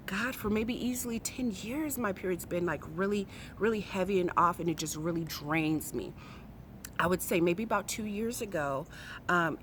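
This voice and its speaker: A woman's voice: lowish voice, slight background noise. A woman in her forties talking frankly and chattily to her viewers in a casual personal vlog. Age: 40s. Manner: frank, chatty, emphatic, talks straight to viewers. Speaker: vlogger.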